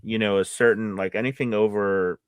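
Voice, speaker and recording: A man hosting a casual livestream discussion, often repeating words as he thinks out loud. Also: fairly low pitch, fairly even intonation, normal speed, smooth, dry recording. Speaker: livestream host.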